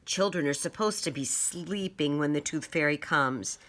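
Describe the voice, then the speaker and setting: A woman reading a children's story aloud as an expressive narrator. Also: low-pitched, normal speed. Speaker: storybook reader.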